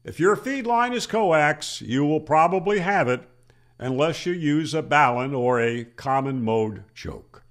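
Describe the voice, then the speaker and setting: A man over sixty giving an explanatory tutorial in an emphatic, deliberate manner, speaking into a close desk microphone. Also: on the high side, animated, fairly bright tone. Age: older, over 60. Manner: emphatic, with rhetorical questions and deliberate repeats. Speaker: amateur radio channel host. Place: small plain room indoors. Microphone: desk microphone on boom arm, close.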